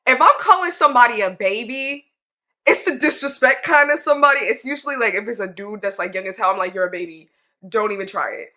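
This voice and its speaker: A woman's voice: a little high, fast talker, pushed and bright. A woman in her late twenties giving a casual reaction commentary, chatty and exclaiming, laughing a lot. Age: 20s. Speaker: reaction video creator.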